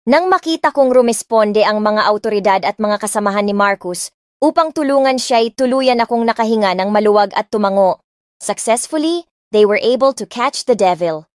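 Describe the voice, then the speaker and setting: A woman's voice: medium pitch, expressive, normal speed, dry recording, studio quality, close to an everyday voice. A young woman playing a lovestruck heiress, narrating her own romance story in an emotive, dramatic way. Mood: relieved. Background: music.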